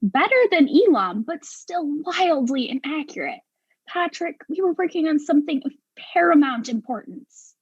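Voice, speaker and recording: A woman acting an exasperated, sharp-tongued professional in a darkly comic mystery play, arguing with precise sarcasm. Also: high voice, animated, moderate pace, dry recording, highs cut off. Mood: defensive, dry.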